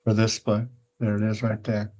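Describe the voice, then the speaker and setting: A man's voice: on the low side, completely flat delivery, a little fast, warm tone. A retired older man hosting a casual show, touring a virtual world and chatting in a rambling, conversational way, often checking in with the listener. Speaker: show host.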